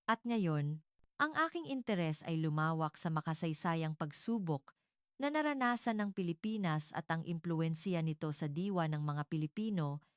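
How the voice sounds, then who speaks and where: Woman narrator w low voice, formal measured dramatic storytelling, very dry studio-like sound. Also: expressive, clear, resonant tone, dry recording.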